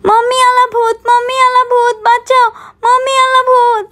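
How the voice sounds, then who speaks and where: Woman voicing a very high-pitched frightened little child doll. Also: little pitch movement, moderate pace, bright, forceful tone. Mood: panicked, pleading. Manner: urgent, pleading, exclamatory. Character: frightened young village child doll screaming for rescue from a mummy. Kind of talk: children's doll story voice acting.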